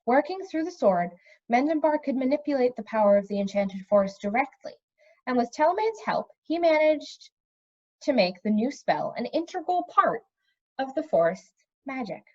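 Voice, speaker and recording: A woman reading a fantasy novel aloud as narrator, in an expressive storybook style. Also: high-pitched, highs cut off.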